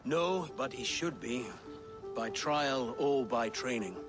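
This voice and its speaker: A man's voice: mid-range voice, slow, music in the background, natural voice. A man playing a solemn, aggrieved middle-aged claimant to a crown in a video game, speaking formally and insistently. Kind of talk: dramatic video game acting.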